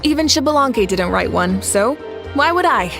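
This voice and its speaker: A woman's voice: on the high side, animated, moderate pace, creaky, music in the background. A woman voicing a composed, confident young female ruler in a video game, assured and good-humored. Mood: amused.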